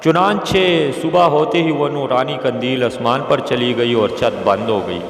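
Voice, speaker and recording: A man narrating a scripted religious moral story in a measured, formal, pious manner. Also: mid-range voice. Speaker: narrator of Islamic moral stories. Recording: echoey with long reverb.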